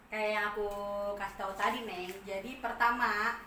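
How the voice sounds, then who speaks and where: A woman's voice: mid-range voice, steady tone, normal speed, sharp tone. A woman, speaking informally as a business owner explaining her products in an interview. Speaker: food business owner, podcast guest. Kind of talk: casual promotional interview talk. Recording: echoey room, distant mic, faint noise.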